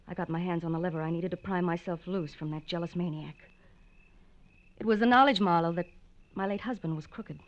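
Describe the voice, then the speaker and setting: A woman's voice: fairly low pitch, lightly acted. A woman acting a cool, hard-edged wife from a classic radio mystery. Her delivery is dramatic yet controlled, bitter and tough-minded. The old recording is slightly muffled.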